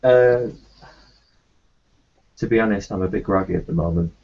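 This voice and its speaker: A man roleplaying his character in a tabletop game, talking in a halting way full of fillers and restarts. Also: low-pitched, vocal fry, darkish tone. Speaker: player voicing his doctor character. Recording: dull lo-fi recording.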